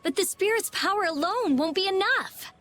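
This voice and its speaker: A woman playing a blunt, brisk, excitable young girl scholar in an anime video game dub. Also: very high-pitched, soft background music. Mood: urgent, explaining.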